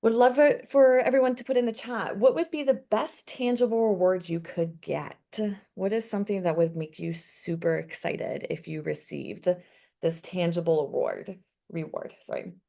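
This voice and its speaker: A woman's voice: on the low side, fairly even intonation, normal speed, vocal fry, mellow. A woman co-hosting a live webinar, chatty and upbeat, reading audience comments aloud. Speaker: webinar co-presenter.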